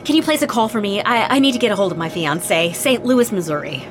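A woman's voice: medium pitch, expressive, fast, music in the background, natural voice. An actress playing an impatient American woman stranded at an airport, brash and sarcastic, in an audio drama. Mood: exasperated.

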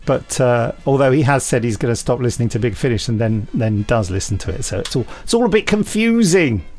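A man's voice: mid-range voice, lively intonation, a little fast, darkish tone. A middle-aged British man hosting a podcast in casual chat, hesitant, wry and a little pedantic. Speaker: podcast host.

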